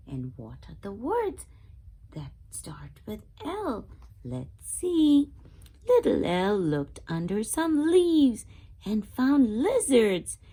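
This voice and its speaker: Slow, animated woman reading to children. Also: on the high side, breathy edge. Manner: expressive, asks listeners questions. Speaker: children's read-aloud channel host. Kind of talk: storybook read-aloud for young children.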